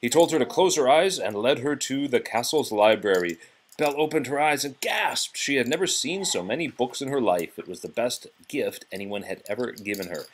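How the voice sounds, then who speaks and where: A man's voice: mid-range voice, animated, brisk, poor audio. A man reading a children's storybook aloud to a child, expressively, with the odd prompting question. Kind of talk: children's read-aloud narration.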